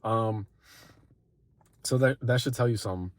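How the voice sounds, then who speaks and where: A man's voice: fairly low pitch, moderate pace. Congested young man, casual swearing. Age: young adult. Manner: rambling, laid-back, swears often, lots of "like". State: sick, congested, slightly raspy and nasal. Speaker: vlogger. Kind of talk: casual motivational vlog.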